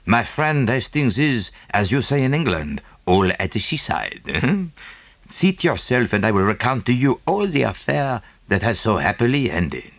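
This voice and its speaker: Fussy, theatrical little Belgian detective. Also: male voice, mid-range voice, very animated, unhurried, a bit bright, no echo, heavily acted. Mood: delighted. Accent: French-speaking Belgian. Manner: didactic, punctuated with "eh?" and French phrases. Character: small fussy Belgian detective, self-satisfied, theatrical, tranquil.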